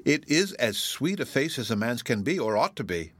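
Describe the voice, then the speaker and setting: A man reading aloud and voicing a cheerful, frank young girl from a village who speaks brightly and earnestly. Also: medium pitch, big pitch swings, moderate pace, husky, dry recording. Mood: admiring.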